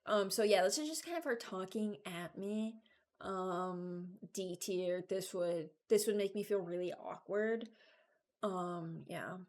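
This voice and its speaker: A woman's voice: mid-range voice, takes it slowly, darkish tone. Casual, rambling young woman commentator. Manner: informal, rambling, candid. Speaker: video creator.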